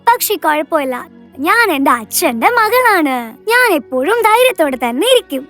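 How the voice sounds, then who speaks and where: A woman's voice: extremely high pitch, sing-song, normal speed, fairly bright tone, soft background music, heavily acted. A woman voicing a poor orphaned village girl in a children's moral story, plaintive and earnest. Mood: resolute.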